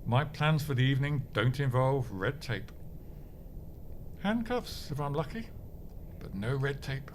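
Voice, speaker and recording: A man's voice: mid-range voice, animated, measured pace, pauses often, background noise, lightly acted. A man acting a grumpy, pompous, melodramatic office clerk in a comedy audio drama, blustering with theatrical indignation. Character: pompous, grumpy, melodramatic male bureau clerk, martial-arts dabbler.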